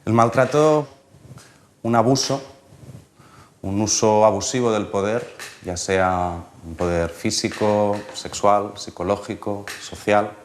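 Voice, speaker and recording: A man's voice: fairly low pitch, slow pace, slight background noise. A psychologist in his thirties defining a term step by step. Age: 30s. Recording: echoey room.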